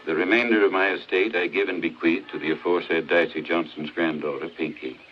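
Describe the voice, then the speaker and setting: A small-town doctor, acted by a man in a 1940s film drama. He has a very deep voice and speaks in a measured, plain way. The soundtrack is muffled with faint hiss. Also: fairly even intonation, lightly acted. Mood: formal reading. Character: matter-of-fact small-town Southern male doctor.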